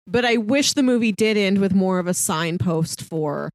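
A woman's voice: medium pitch, lively intonation, moderate pace, dry recording. A woman co-hosting a casual movie review discussion in a conversational way. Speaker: podcast co-host reviewing movies.

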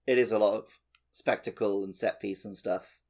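A man's voice: lowish voice, steady tone, normal speed, vocal fry, darkish tone. A man co-hosting a podcast, chatting casually about a video game. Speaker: podcast co-host.

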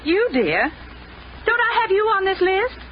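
A middle-aged wife in a vintage radio sitcom. She is patient but fussing, with a high, very animated voice over a dull, faintly noisy recording. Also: a little slow, full character voice. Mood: patient, apologetic. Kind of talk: 1940s radio sitcom acting.

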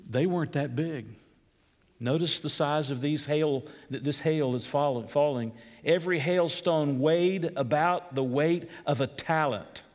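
A middle-aged man with a Georgia accent preaching an expository sermon, rising to emphatic peaks and dropping low and slow when he reads scripture. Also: a little mellow, long reverb tail. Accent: Southern US (Georgia).

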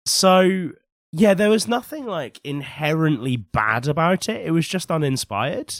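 A young man co-hosting a casual podcast, a little husky from a cold, opinionated and teasing. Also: fairly high pitch, lively intonation, a little slow, no room sound, clean studio sound. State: recovering from a cold, slightly husky. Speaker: podcast co-host. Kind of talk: casual chat.

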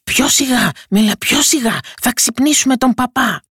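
A storyteller voicing a sneaky, nervous male robber in a fairy tale, speaking with hushed urgency. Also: mid-range voice, level tone, vocal fry, slightly rough, dry recording, studio quality, full character voice. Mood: frightened. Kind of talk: storybook character voice.

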